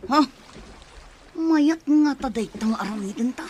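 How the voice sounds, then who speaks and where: A caring older island sister from a drama, acted by a woman, with a high voice. She sounds concerned and cautious as she directs, over faint noise. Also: animated, a little slow, a little mellow. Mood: concerned, directing. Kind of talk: audio drama acting.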